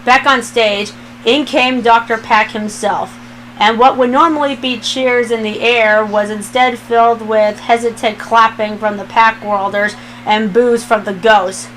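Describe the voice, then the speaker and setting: A woman's voice: a little high, steady tone, measured pace, fairly bright tone, a little hiss or hum, rough recording. A woman reading fan fiction aloud as a narrator, in a scripted storytelling style.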